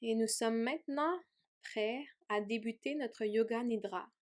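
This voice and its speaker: A woman yoga teacher leading a guided meditation in a gentle, soothing way with long pauses. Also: a little high. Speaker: yoga teacher guiding a relaxation.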